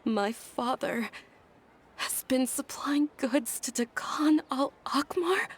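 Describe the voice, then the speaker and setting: An actress voicing a gentle, polite young noblewoman in a video game, speaking softly and courteously. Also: sits high, slow, breathy edge, exaggerated voice. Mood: earnest. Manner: soft-spoken and courteous.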